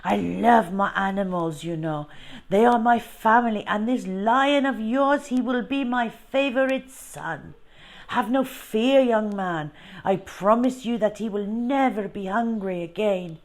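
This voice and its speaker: A woman voicing a warm, boastful, earnest French circus owner for a children's storybook, with grand, emphatic declarations. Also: medium pitch, a little slow, pitched above the performer's own voice, natural voice. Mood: delighted, grand.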